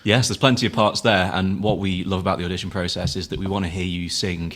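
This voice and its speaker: A man's voice: deep voice, fairly even intonation, fast, fairly bright tone. A man appearing as a guest from an amateur theatre society in a radio studio. He explains things with enthusiasm and dry humor. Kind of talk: casual interview chat.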